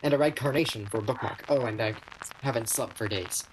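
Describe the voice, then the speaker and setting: A very deep-pitched, prickly male shop clerk played by a woman in a radio play. He is nervous and lovestruck, tripping over his words in a flustered way. Background noise shows in the amateur recording. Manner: flustered, halting, stammering. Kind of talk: virtual radio play acting.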